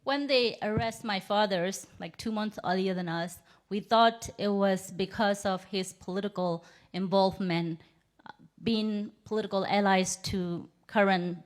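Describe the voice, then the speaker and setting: Burmese-accented woman, 30s, measured and earnest speaker telling her story, mid pitch. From Myanmar. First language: Rohingya. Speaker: invited speaker. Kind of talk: personal testimony speech.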